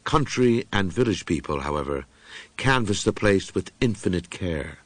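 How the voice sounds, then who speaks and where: A man with a fairly low voice narrates a horror audiobook in a very dry acoustic. His delivery is formal and measured, with a dramatic storyteller's sense of phrasing. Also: expressive, dry recording.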